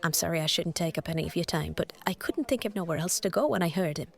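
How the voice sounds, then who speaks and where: Casting: Victorian East End working woman, lowish pitch, coaxing, colloquial, streetwise, eager to please. Audio drama. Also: expressive, fast, creaky. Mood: coaxing, chatty.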